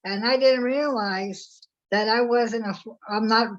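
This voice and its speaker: A woman's voice: on the high side, steady tone, unhurried, lo-fi sound. A woman informally sharing a personal story in a group discussion. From United States. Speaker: workshop participant.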